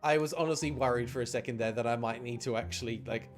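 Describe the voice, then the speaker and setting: A man streaming a game, chatty and expressive as he comments and reads the text aloud. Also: medium pitch, fast. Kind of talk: livestream chat and read-aloud game commentary. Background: game music.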